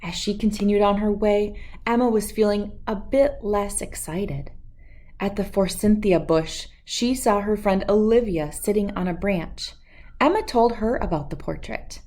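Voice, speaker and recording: A woman reading a storybook aloud for children as host, expressive and speaking to listeners as friends. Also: medium pitch, unhurried.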